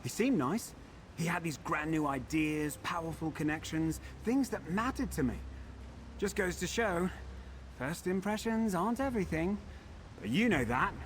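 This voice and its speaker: An actor playing a fussy, pampered defector in a video game, wry and chatty with a faintly aggrieved manner. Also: male voice, on the high side, expressive, moderate pace, slight background noise, lightly acted. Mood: wry, musing. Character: fussy pampered defector from a wizard house, put-upon. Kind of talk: video game character dialogue.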